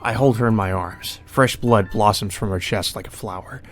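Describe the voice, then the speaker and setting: A man's voice: on the low side, normal speed. A man reading a visual novel aloud as a let's player, with casual commentary. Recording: music under the voice.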